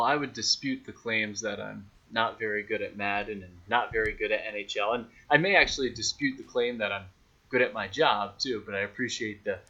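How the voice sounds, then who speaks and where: A man, a sports announcer being interviewed, chatting in a dry, measured, tongue-in-cheek way on slightly lo-fi audio. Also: lowish voice, pushed and bright, slightly rough. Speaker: podcast guest, hockey radio play-by-play announcer. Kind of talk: casual interview chat.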